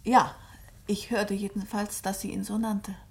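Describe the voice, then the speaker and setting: An actress playing a composed, observant novelist in a German radio play, with precise, matter-of-fact phrasing and considerate manners. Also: female voice, medium pitch, flat delivery, measured pace, creaky. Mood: curious.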